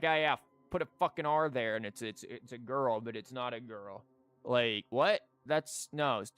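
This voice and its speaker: A man's voice: a little high, fairly bright tone, clear, resonant tone, no echo. A man giving casual, joking and sweary live commentary while he plays a video game. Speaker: streamer playing a video game. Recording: music behind the voice. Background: game music.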